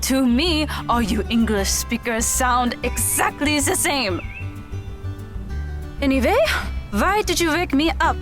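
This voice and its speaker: A woman playing a guarded, irritable German girl in an audio drama, blunt and deliberate, with a German accent. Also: sits high, expressive, soft background music. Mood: irritated.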